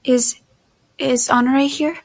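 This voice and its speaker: An actress playing an apprehensive but resolute heroine, narrating an audio drama in the first person in a measured way. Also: female voice, high-pitched, little pitch movement. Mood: hesitant, uneasy. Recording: music under the voice.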